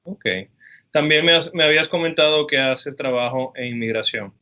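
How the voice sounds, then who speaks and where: A man, a professor, interviewing a guest attorney for his students in an easygoing way, with frequent pauses and fillers. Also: on the high side, sharp tone, dull audio. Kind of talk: class interview over video.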